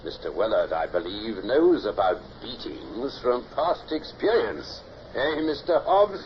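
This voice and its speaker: A menacing naval captain, swooping delivery. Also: male voice, on the low side, sing-song, measured pace. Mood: menacing, sardonic. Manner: imperious, sardonic, interrogating. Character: tyrannical, suspicious naval captain, coldly menacing. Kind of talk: period radio drama acting. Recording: dull old radio recording with hiss.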